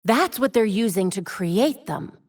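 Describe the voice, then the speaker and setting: A young American masked heroine for a video game. She is quippy, determined and caring, with a lively, wide-ranging pitch. The recording is dry and close, with no room around it. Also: unhurried, no room sound. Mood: focused, investigative. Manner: wry, quippy, expressive. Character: young female masked vigilante hacker, wry, determined, caring.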